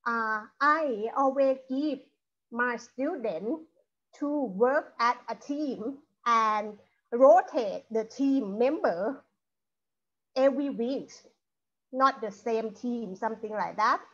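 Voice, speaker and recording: A woman lecturer with a Thai accent talks slowly and haltingly over a video call, her voice sitting a little high. She fills gaps with many ums and starts phrases over. The sound is dull and lo-fi, like online call audio. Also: fairly bright tone. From Thailand. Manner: halting speech with many fillers and restarts. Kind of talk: panel discussion talk.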